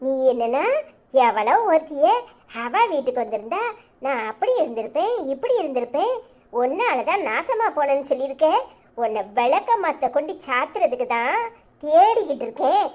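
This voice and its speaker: A woman voicing a sharp-tongued old grandmother who scolds loudly in rapid tirades. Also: sits high, expressive. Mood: scolding.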